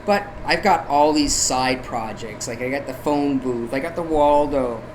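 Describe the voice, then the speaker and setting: A man's voice: mid-range voice, measured pace, background noise. A man talking casually as an interview guest, in a rambling, easygoing, informal way. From Canada. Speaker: guest, local artist and photographer.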